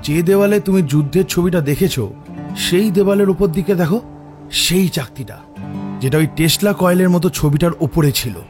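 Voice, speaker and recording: A man's voice: high voice, brisk, over music, lightly acted. An actor playing an uneasy male explorer in an audio drama, hesitant and questioning. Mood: intrigued. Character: uneasy male explorer, companion in a dark temple. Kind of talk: dramatic audio story acting.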